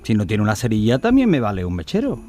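An actor playing an odd, breezy, chatty stranger with a whimsical manner in a radio play. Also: male voice, on the low side, huge pitch swings, quick pace, dark tone. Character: odd, cheerful, chatty male stranger in the cold.

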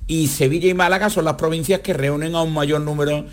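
A man giving a formal, detailed sports report on live radio, measured and wordy with some hesitation. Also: fairly high pitch, fairly even intonation.

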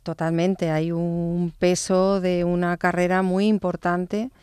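A woman in her fifties, a nurse, talking thoughtfully in an interview, with hesitant restarts and phrases that trail off softly. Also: fairly low pitch, flat delivery, takes it slowly, smooth, dry recording, clean studio sound. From born in England, raised in Barcelona. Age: 50s. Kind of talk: conversational interview.

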